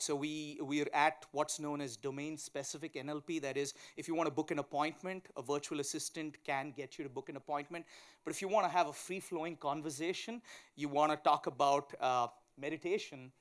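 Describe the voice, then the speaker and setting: A man with an Indian accent speaks in a fairly high voice as a guest on a conference stage. He explains technology methodically, using examples and tagging his points with short check-in questions. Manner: explains step by step with examples, often tags "right?". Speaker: tech company chief executive, conference guest speaker. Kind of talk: explanatory conference interview talk.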